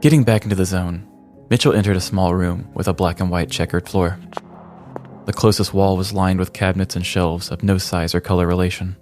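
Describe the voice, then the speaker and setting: Deep-voiced man narrating with mock seriousness. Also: steady tone, fairly quick, a little mellow. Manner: dramatic, ornate, mock-serious delivery. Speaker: podcast host. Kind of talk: scripted comedic story narration. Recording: music bed behind the voice. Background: background music.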